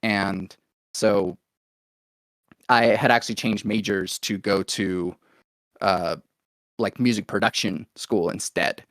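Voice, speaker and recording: A man with an American accent telling his own story in a casual, rambling interview style, as if thinking out loud. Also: fairly low pitch, fairly even intonation, slow pace, long pauses, no room sound, studio quality. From Oklahoma, United States, born in Venezuela. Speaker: podcast guest, musician and producer.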